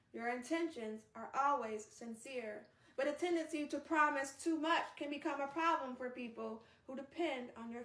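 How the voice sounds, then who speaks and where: A woman hosting a read-aloud, moving between narration and casual, expressive, chatty asides. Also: high voice. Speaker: host reading a book aloud on a live stream. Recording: roomy echo, distant microphone.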